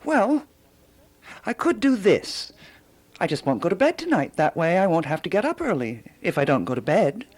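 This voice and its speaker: A woman's voice: on the low side, big pitch swings, normal speed, darkish tone, breathy edge. An actress playing a fussy, self-important, eccentric woman sleuth in a radio drama, theatrical and given to pondering aloud. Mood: indignant, fussy.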